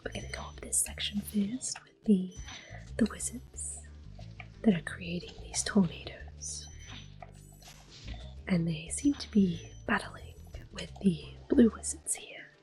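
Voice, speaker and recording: A woman giving gentle, unhurried ASMR game commentary in a soft, breathy, reassuring voice. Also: medium pitch, faint music behind, poor audio. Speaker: ASMR gameplay host.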